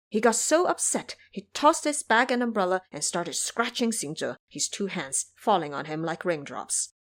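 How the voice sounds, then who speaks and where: A woman's voice: medium pitch, a bit bright. A woman narrating a scripted story as a storyteller.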